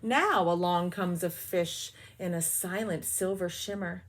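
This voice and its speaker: A woman's voice: fairly low pitch, steady tone, unhurried. A woman teacher reading a picture book aloud, adding chatty comments between the pages.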